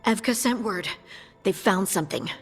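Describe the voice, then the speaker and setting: Direct fantasy game ally played by a woman, mid pitch, determined, slight hall reverb. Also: normal speed, lightly acted. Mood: urgent. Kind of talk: video game voice acting. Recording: roomy reverb, faint music behind.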